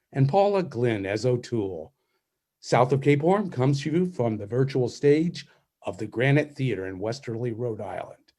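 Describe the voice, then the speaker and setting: A man's voice: medium pitch, mellow. A man narrating and announcing a radio play from a script, in storytelling style.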